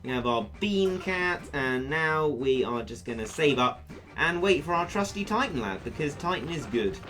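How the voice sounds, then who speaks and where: A man talks through a mobile game with a chatty, self-mocking humor and a slightly hoarse voice. His tone is bright and his pitch leaps around animatedly, and faint music sits behind him. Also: animated, moderate pace. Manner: chatty, upbeat, self-deprecating jokes. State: voice going hoarse. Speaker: gaming YouTuber. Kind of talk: let's play commentary.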